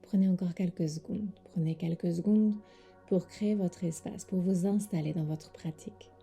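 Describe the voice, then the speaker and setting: A woman with a soft, breathy voice leads a guided sleep meditation as a yoga teacher, giving gentle, soothing instructions with pauses. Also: lowish voice, steady tone, soft background music.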